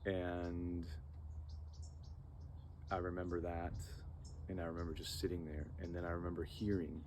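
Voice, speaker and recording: Man, low voice, earnest, animated storytelling, light background noise. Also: normal speed. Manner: earnest, animated, sound effects in the telling. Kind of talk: personal storytelling monologue.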